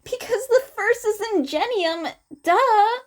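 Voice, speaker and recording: Woman doing a high, eager teenage boy. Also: lively intonation, slow pace, exaggerated voice. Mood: cheerful. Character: bright, eager, curious teenage boy hero student.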